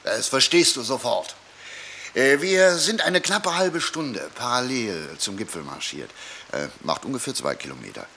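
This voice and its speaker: Bossy, cocksure fugitive leader, very animated. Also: male voice, medium pitch, a little slow, vocal fry, a little hiss or hum, full character voice. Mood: cocksure, lecturing. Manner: impatient, scolding, lecturing. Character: bossy, cocksure fugitive man leading his comrade. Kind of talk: German radio play acting.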